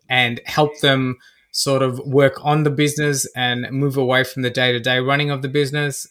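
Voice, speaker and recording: A man's voice: medium pitch, pushed and bright. An Australian-accented man around forty, a business coach answering interview questions conversationally. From Australia (Melbourne), born in Fiji. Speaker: podcast guest, business coach.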